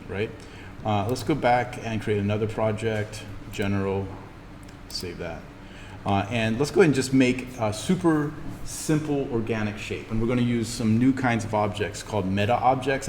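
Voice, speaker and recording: Guy teaching a software class off the cuff, kinda halting, echoey noisy room. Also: fairly low pitch. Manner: halting, thinking aloud while demonstrating. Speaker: instructor teaching 3D animation software. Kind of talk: informal live in-class software tutorial. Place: classroom, indoors. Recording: echoey room with background noise.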